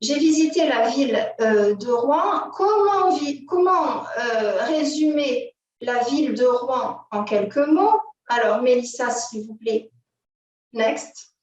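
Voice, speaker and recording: A French-speaking woman presenting her novel with slides at a book fair, pausing often with filler sounds and asking her assistant to move to the next slide. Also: high-pitched, lively intonation, dull audio. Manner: halting, many filler "euh", directs an assistant to change slides. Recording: roomy echo, distant from the mic.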